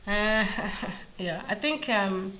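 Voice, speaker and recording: A woman's voice: medium pitch, slow, slight background noise. A woman, a school principal, speaking earnestly in an interview, sounding nasal from a flu. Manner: earnest, animated, mixes in Zulu phrases. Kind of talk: interview conversation.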